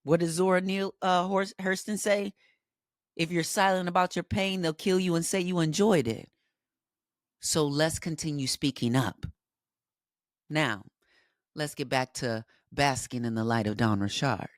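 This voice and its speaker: A woman's voice: deep voice, measured pace, no room sound, studio quality. A woman in her forties hosting an interview, talking earnestly and gushing with thanks. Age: 40s. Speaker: host. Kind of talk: casual interview conversation.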